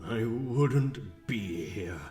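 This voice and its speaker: An actor playing a gloating, vengeful supervillain in a comic book drama, theatrical and menacing, with music underneath. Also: male voice, on the low side, lively intonation, very slow, dark tone, reverberant. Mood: brooding, gloating.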